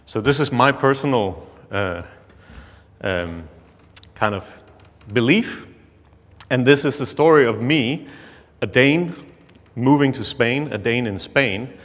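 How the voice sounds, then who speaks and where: A man from Denmark, a native Danish speaker, giving a casual conference talk with many pauses and fillers. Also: medium pitch. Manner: relaxed, hesitant, many fillers. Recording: long hall reverb.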